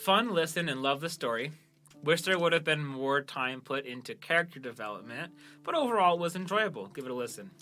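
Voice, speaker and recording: Bright, forceful man bantering over music. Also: a little high, animated, brisk. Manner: jokey, self-deprecating, laughs often. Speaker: podcast host and producer. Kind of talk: casual banter, reading listener reviews.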